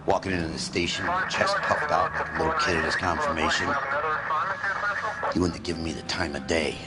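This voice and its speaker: A man playing a gruff, sarcastic, world-weary older detective in a video game, with curt delivery. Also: low voice, very animated, brisk. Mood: sarcastic, bitter. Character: gruff, bitter, hardboiled older male arson detective partner. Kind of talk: cinematic video game acting. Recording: noisy game audio capture.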